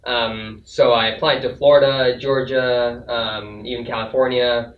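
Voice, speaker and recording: A young man tells his story in a relaxed, wry way, pausing on fillers. He sounds far from the mic, dull and echoey. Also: mid-range voice, slight background noise. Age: young adult. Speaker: student. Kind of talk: casual panel chat.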